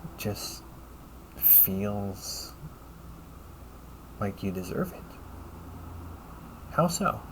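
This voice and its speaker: Low-voiced, polite A.I. companion played by a man. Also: very animated, noisy background, exaggerated voice. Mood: gently questioning. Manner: measured, formal phrasing with questioning tags. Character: polite, caring male A.I. companion, gently logical and encouraging. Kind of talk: audio roleplay addressed to the listener.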